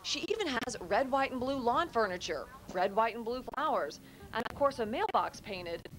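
Measured woman news reporter. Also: fairly high pitch, expressive, a bit bright, slight background noise, low-quality recording. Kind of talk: scripted news narration.

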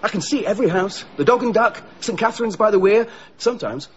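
An actor playing a genial, romantic husband in a radio drama. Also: male voice, high voice, animated, fast talker, lo-fi sound, slight background noise. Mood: wistful. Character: genial, romantic husband, parish council chair.

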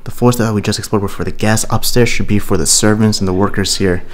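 A young man hosting an exploring vlog, talking casually and excitedly to viewers on a handheld camera mic inside a large empty abandoned mansion. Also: on the low side, quick pace. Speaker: urban explorer host.

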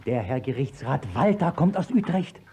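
Guy playing needling court clerk, pointed talk, mellow voice, hissy old radio drama. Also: mid-range voice, expressive, moderate pace, lightly acted. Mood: urgent warning. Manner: probing, pointed. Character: needling, sly court clerk, colleague of judge. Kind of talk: 1950s German radio play acting. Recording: dull, noisy old 1950s radio recording.

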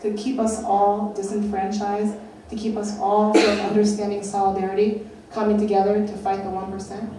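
A woman's voice: medium pitch, monotone, normal speed, noisy background. A young woman giving a keynote talk from a stage, earnest and questioning, pressing her points with rising emphasis. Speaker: keynote speaker. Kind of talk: public lecture. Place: dark stage at a festival, indoors. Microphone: handheld mic on a stand. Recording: roomy hall from a far camera, audience.

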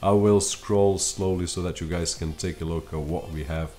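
A man's voice: sits low, steady tone, normal speed, darkish tone. A man in his thirties whose first language is Portuguese, reviewing tech gear to camera from a home office in a chatty way. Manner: chatty, talks straight to viewers with frequent fillers. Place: home office with computer desk.

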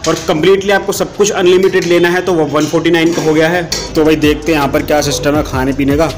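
A man's voice: on the high side, fairly even intonation, quick pace, music in the background. A young man giving a casual, chatty food vlog tour of a small restaurant, filming on a phone held at arm's length. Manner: chatty, addresses viewers as brother. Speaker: food vlogger. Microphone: handheld phone at arm's length.

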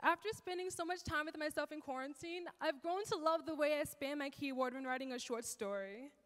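Teen girl, high bright voice, earnest rhythmic spoken word, reverberant church hall. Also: fairly quick. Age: teens. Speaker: teen performer. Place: church stage, large hall. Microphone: handheld mic.

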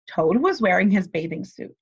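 Young woman teacher, read-aloud, animated intonation, husky voice, slightly dull webcam audio. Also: medium pitch, normal speed. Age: young adult. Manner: animated, expressive. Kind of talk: children's read-aloud with questions to listeners. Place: small bedroom, indoors.

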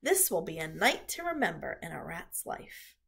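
A woman voicing a brash, greedy male rat who sneers and boasts loudly in a read-aloud storybook. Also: lowish voice, wildly swooping pitch, normal speed, gravelly, breathy edge, voice pushed down, exaggerated voice. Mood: sneering gleeful. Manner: loud, sneering.

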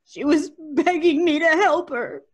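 A woman's voice: high voice, unhurried. A woman playing a troubled, haunted wife with a hesitant, dreamy manner in an old-time radio drama. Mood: distraught.

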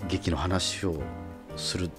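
A male radio host speaks in a low voice, politely and conversationally. Faint music plays behind his easygoing chat and announcements. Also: level tone, slow. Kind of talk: radio hosting, casual chat.

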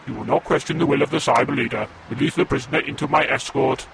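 A friendly Cyberman ally, as a man plays him, measured and formal in delivery. He speaks at a middling pitch with faint background noise, as in a fan-made radio play. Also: natural voice. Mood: stern.

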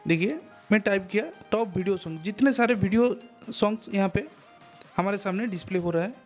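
A man's voice: high-pitched, brisk. A man giving a step-by-step tech tutorial voice-over, repeating himself and addressing listeners as friends. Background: background music.

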